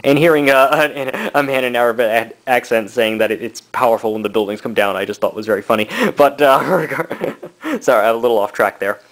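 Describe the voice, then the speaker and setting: A man in his thirties giving a casual, rambling review, halting often with fillers and short laughs. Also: mid-range voice, a bit bright. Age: 30s. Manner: laughs between thoughts. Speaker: book and comic reviewer.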